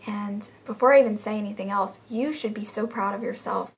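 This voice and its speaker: A young woman who is losing her voice, talking earnestly and encouragingly to her friends in a casual video message, often slipping into a breathy, whispery delivery. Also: mid-range voice, brisk, low-quality recording. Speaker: vlogger in a group channel.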